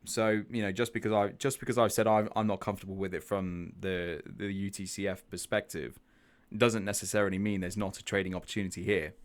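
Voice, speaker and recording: A man's voice: deep voice, steady tone, fairly quick. A man hosting a live trading stream, chatting through chart analysis, thinking aloud with restarts and asking viewers for their views. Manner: chatty, thinks aloud, repeats words, asks viewers questions. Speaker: trading group host.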